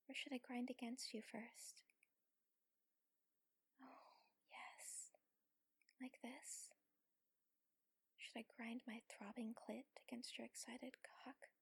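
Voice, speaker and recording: A woman's voice: sits high, no echo, heavily acted. A woman playing a submissive, teasing warrior lover in an intimate adult audio roleplay, whispery and pleading. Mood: breathy, aroused. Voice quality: breathy, whispery. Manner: slow, pleading, coaxing.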